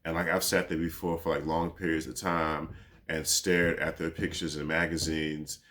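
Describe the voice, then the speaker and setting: A man in his thirties with a very low, deep voice speaks in a personal, reflective monologue. His delivery is laid-back and rambling, peppered with easy fillers as he thinks aloud. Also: little pitch movement, moderate pace, sharp tone. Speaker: podcast host.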